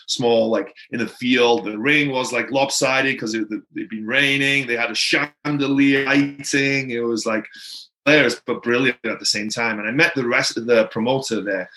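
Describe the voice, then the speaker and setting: A man's voice: mid-range voice, steady tone, moderate pace, bright, forceful tone, rough recording. A man from Wales with a Welsh accent, a native Welsh speaker, telling his story as a podcast guest in a rambling, casual way full of "like" and "right?". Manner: rambling, filler-heavy, frequent "right?" and "like".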